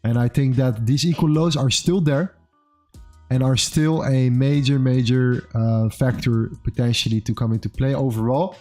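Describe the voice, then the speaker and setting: A man's voice: medium pitch, fairly even intonation, moderate pace, darkish tone. Upbeat twenties male streamer, casual trading talk, faint music under him. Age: 20s. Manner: upbeat, says "amazing" and "bro" often. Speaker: livestream host and trader. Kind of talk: casual livestream chat and market commentary.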